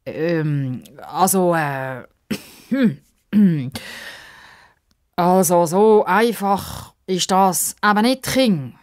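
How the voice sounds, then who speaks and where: A woman's voice: on the low side, a little mellow, voice pushed up. A woman voicing an embarrassed, grumbling old man who stammers and hesitates in a children's story. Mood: embarrassed, hesitant. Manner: halting, stammering, hemming and hawing.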